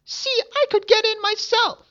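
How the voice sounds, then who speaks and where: A man voicing a cruel old witch in a shrill falsetto, barking impatient orders in a storybook reading. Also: steady tone, measured pace, bright, forceful tone, heavily acted. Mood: scheming. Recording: dull lo-fi recording.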